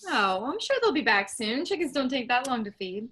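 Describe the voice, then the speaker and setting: A woman reading a gentle grown farm daughter. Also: fairly high pitch, big pitch swings, moderate pace. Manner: soft, easygoing, gently teasing. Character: Candace, gentle grown daughter, patient and agreeable. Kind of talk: staged play reading.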